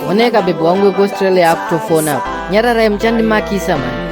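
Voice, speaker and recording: An actor voicing a cocky young man in an animated comedy, smug and chiding as they banter in slang. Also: mid-range voice, rapid-fire, loud music behind the voice, close to an everyday voice.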